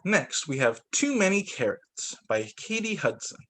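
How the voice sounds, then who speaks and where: Guy teacher doing story time, higher voice, really lively reading, chats about the pictures. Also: measured pace. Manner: expressive, comments aloud on the pictures. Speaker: teacher reading aloud to young students. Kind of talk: picture-book read-aloud with off-the-cuff remarks.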